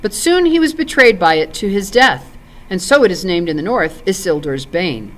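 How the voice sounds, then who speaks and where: A woman's voice: lowish voice, expressive, normal speed, natural voice, rough recording. Solemn ancient elf lord, read by a woman. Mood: grave, solemn. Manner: solemn, stately, archaic phrasing. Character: grave, ancient male elf lord recalling old wars. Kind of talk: storybook character in read-aloud.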